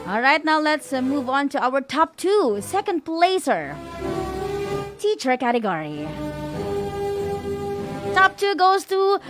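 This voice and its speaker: Female awards co-host, Filipino accent, high animated voice presenting placers over clear background music. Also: takes it slowly, pauses often. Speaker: co-host of an online awards ceremony. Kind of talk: announcing award winners, congratulatory.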